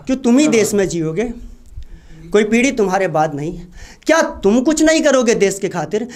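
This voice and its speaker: A man reciting patriotic poetry at an open mic, declaiming and repeating lines for emphasis. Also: very high voice. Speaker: poet.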